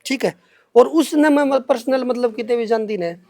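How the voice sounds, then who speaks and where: A young man with a Haryanvi accent speaks in a strikingly high-pitched voice. He is giving an interview in his own defence, colloquial and emphatic, often repeating himself. The sound is close and dry, with very little room in it. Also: moderate pace, dark tone, dry recording. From Haryana, India. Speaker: interviewee answering accusations against him.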